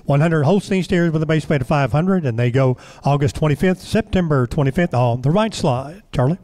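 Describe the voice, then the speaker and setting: A man's voice: on the high side, fairly quick, mellow. A man reading out cattle lot details for an auction broadcast in a plain, list-like way.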